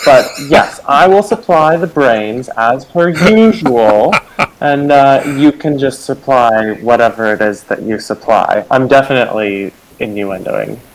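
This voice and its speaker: A man's voice: mid-range voice, a little hiss or hum, low-quality recording. Dry, teasing man playing a tabletop game. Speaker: player.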